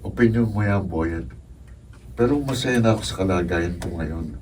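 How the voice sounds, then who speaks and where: A low, dark-toned voice of a steadfast young seminarian, acted by a man, serious and gently firm. It is radio drama delivery, picked up at a distance in a noisy room. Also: lively intonation. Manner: serious, measured. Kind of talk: Filipino radio drama acting.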